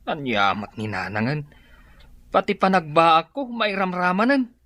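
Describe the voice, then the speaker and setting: A woman's voice: sits low, lively intonation, a little slow, fairly bright tone, no echo. A woman with an Ilocano accent playing a shamefaced, nervous debtor who stammers and whines in a radio drama. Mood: sheepish, whining. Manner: hesitant, stammering, whining. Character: shamefaced, nervous debtor, grown child hiding from creditors.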